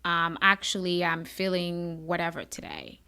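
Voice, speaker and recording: A woman's voice: lowish voice, a little slow, gravelly. A woman from Haiti hosting a podcast, talking candidly in a chatty, bubbly, conversational way. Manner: animated, chatty, self-described bubbly. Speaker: podcast host.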